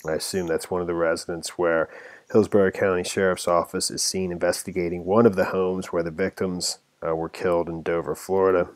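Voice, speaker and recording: A man giving informal commentary on a news story, part reading and part ad-libbing, halting and rambling as he stumbles over words. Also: low-pitched, level tone, dry recording.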